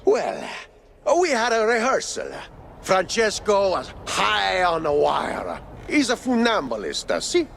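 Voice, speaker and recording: An actor playing an excitable, exasperated cartoon circus boss with an Italian accent, theatrical and exclamatory in a video game. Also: male voice, high voice, a little slow, gravelly, slight background noise, heavily acted. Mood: dramatic, distressed.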